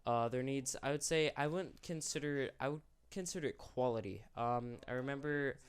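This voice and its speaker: A man's voice: medium pitch, moderate pace, clear, resonant tone. Sick young man rambling unscripted. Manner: rambling, self-correcting, candid. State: sick, losing his voice. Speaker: YouTube channel host. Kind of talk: unscripted commentary.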